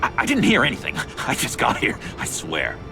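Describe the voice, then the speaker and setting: Game role: fawning, unhinged young male oddball, theatrical and stammering, hugely animated pitch with gravelly breaks. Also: brisk. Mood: giddy, starstruck. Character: excitable, fawning, nervous young male oddball superfan with a manic laugh. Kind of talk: video game voice acting. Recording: music behind the voice.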